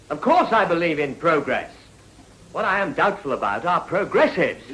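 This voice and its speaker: An actor playing a self-assured rising politician with an upper-class English accent, polished and eloquent, in a British period radio drama. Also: male voice, sits high, sharp tone, heavily acted, poor audio. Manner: oratorical, polished.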